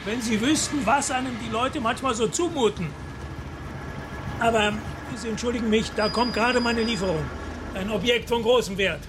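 A man's voice: extremely high pitch, moderate pace. An actor playing a jovial, evasive antiques dealer in his seventies, politely dismissive, in a German radio crime drama. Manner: smooth, dismissive, guarded politeness. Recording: clear outdoor street and traffic noise. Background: city street with vehicles.

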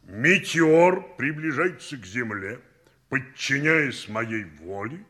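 Harried chairman, bright and animated. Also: mid-range voice, takes it slowly. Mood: grave, emphatic. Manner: official, emphatic, calling for order. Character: harried, formal male conference chairman. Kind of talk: 1960s Soviet radio drama acting.

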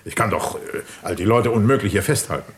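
A man's voice: lowish voice, animated, fairly quick. An actor playing a well-to-do middle-aged father, a polite and somewhat flustered host, in a German radio crime drama. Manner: polite, hesitant, genteel. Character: flustered, well-to-do father hosting a grand party.

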